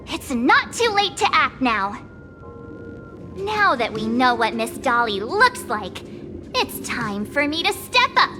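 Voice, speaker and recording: A woman's voice: high-pitched, full character voice. A woman voicing a perky, dramatic and determined teenage girl in an anime-style game, with girlish, emphatic delivery. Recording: music behind the voice. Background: music.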